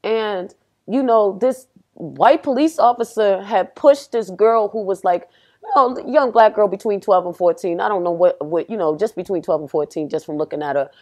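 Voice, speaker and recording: A young adult woman, a rapper guest, from Pennsylvania and raised in Texas, talking casually and acting out what people said. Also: normal speed. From Pennsylvania, grew up in Houston, Texas. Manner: animated storytelling, acts out quotes with rising pitch. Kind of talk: casual podcast conversation.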